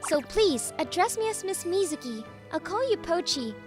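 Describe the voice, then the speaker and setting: Woman acting very high-pitched bossy anime office boss. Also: big pitch swings, normal speed, over music. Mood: stern, needling. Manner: commanding, needling. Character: bossy, teasing young female boss and jilted ex. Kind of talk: anime-style manga dub acting.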